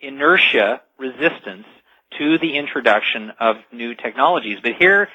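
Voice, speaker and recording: A man's voice: mid-range voice, animated, normal speed, sharp tone, breathy edge, no room sound. Man explaining things on dull-sounding radio. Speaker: talk radio guest, futurist. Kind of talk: conversational radio interview, explaining. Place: radio call-in show.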